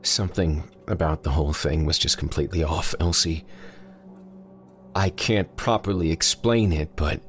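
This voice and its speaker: A man voicing a terrified husband in a horror story, pleading in a quavering, faltering, breathy way. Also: extremely low pitch, moderate pace, soft background music.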